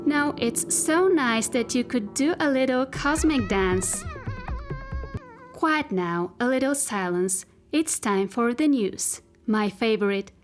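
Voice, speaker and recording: A woman voicing a talkative, possessive AI spacesuit companion in a science-fiction audio drama, musing and repeating herself as she speaks intimately to her listener. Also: high-pitched. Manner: musing, repetitive, intimate direct address.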